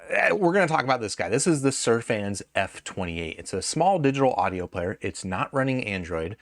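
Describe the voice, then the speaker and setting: A man's voice: medium pitch, no echo, studio quality. A man hosting a casual, unscripted gadget review, talking conversationally and correcting himself as he goes. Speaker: product reviewer and host.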